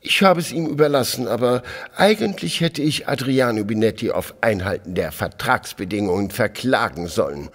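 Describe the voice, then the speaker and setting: An actor playing a haughty, disgruntled English gentleman in a German audio drama, speaking stiffly and formally with hesitant fillers and a husky voice. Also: mid-range voice, lively intonation, moderate pace. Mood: indignant, disdainful. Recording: long reverb, music underneath.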